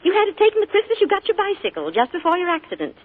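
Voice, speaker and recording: A woman's voice: extremely high pitch, huge pitch swings, racing, heavily acted. A chatty, doting mother. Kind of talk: 1940s radio acting. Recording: tinny old radio broadcast.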